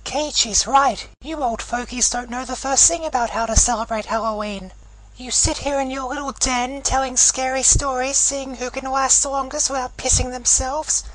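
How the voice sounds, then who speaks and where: A woman voicing a gleefully psychotic teenage girl, mocking and sarcastic, with a gravelly, husky voice. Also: sits high, no echo, poor audio.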